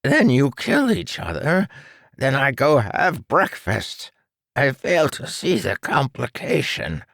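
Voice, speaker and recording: A smug, mocking mad-scientist villain from a superhero audiobook, played by a male narrator. He stretches his words with theatrical condescension, swinging widely in pitch over a creaky low register. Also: big pitch swings, a bit bright, no echo, studio quality, heavily acted. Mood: amused, dismissive. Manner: theatrical, condescending, drawn-out delivery.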